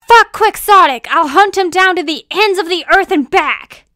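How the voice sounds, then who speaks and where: Woman as raging male villain, storybook, frantic yelling, very high pitch, dry sound. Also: normal speed, no echo. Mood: enraged. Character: furious, vengeful captured male villain.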